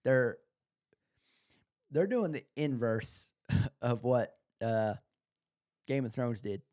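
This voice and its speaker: A man's voice: mid-range voice, expressive, takes it slowly, darkish tone, dry recording. Casual guy podcasting, dry close sound. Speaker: podcast co-host. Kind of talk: casual chat about books and shows.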